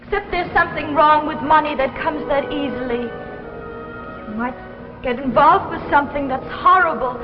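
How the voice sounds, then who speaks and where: High-voiced American wife played by an actress, vintage drama. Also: female voice, moderate pace, long reverb tail, lightly acted. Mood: anxious. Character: loyal, principled young wife, firm and anxious. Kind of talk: 1950s television drama acting. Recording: muffled vintage broadcast, loud music behind.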